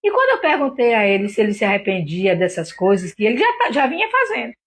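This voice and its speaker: A slightly hoarse woman with a Brazilian accent, a doctor hosting an informal live stream, explaining things didactically with frequent check-in tags. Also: on the high side, wildly swooping pitch, fast talker. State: a little hoarse. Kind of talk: informal explanatory talk to online viewers.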